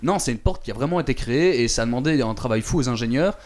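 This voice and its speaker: A man with a middle-pitched voice talks in a loose, casual way. He stumbles over his words, repeats himself and fills pauses with hesitations. He chuckles often as he tells a story. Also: animated, a little mellow. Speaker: radio show contributor recounting a trip. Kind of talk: casual chat.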